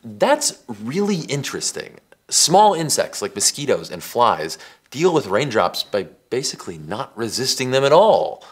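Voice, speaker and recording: A man's voice: medium pitch, very animated, a little slow, breathy edge. An American man in his forties hosting a science explainer, talking to camera in a casual, emphatic, conversational way. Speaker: science show host. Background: background music.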